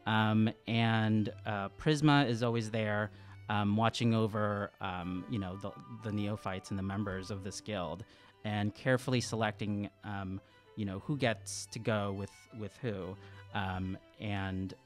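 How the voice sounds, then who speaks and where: A man improvising his character's story as a player in a tabletop game, hesitant and halting as he thinks aloud. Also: lowish voice, steady tone, faint music behind. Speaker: tabletop role-playing player.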